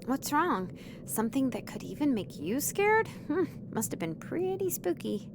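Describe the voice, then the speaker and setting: A woman's voice: high voice, big pitch swings, normal speed, noisy background. A woman voicing a bubbly, teasing young woman in a visual novel game, chirpy and playful.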